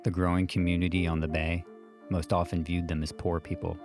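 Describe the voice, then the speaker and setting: Very deep-voiced male documentary narrator. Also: music in the background. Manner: measured, even delivery of prepared text. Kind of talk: scripted narration.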